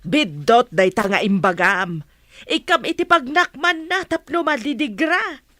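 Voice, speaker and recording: A woman's voice: a little high, animated, unhurried, bright, forceful tone, no echo, studio quality. A woman playing an indignant, disapproving middle-aged Filipino mother in a radio serial, scolding and exasperated. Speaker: radio drama actor.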